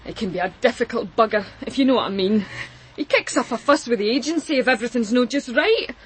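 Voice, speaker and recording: A woman's voice: fairly high pitch, fast talker, a little hiss or hum, close to an everyday voice. A woman playing an earthy, blunt Glaswegian office cleaner in a radio crime drama, chatty and colloquial. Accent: Glaswegian Scottish.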